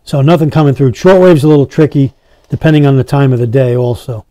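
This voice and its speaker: A man's voice: medium pitch, fast, dark tone, no echo. A man giving a casual hands-on product demonstration as a reviewer, talking to his viewers. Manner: addresses viewers as guys.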